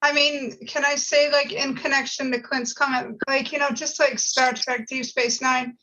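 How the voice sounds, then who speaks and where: A woman's voice: high voice, flat delivery, moderate pace, bright, forceful tone. A woman talking earnestly in a casual discussion, explaining her thoughts with frequent filler words like "you know". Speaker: panel participant. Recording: dull lo-fi audio.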